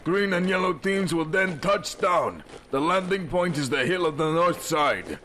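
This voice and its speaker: An actor playing a stern, brisk special forces colonel in a radio drama, clipped and authoritative. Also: male voice, on the high side, animated, bright, forceful tone, slight background noise. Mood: commanding.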